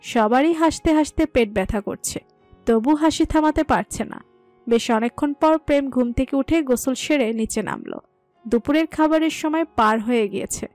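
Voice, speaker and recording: A woman narrating a romantic family story in a calm, even manner. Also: high voice, moderate pace, no room sound. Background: soft music.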